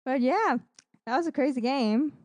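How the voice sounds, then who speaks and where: A woman's voice: high voice, normal speed, a little mellow, no echo. A woman co-hosting a podcast, recapping esports matches in a casual, gushing, enthusiastic way. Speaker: podcast co-host.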